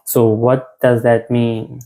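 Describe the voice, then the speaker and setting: A man's voice: mid-range voice, little pitch movement, slow, mellow. A young South African man giving an informal men's health talk, conversational, repeating phrases and restarting words.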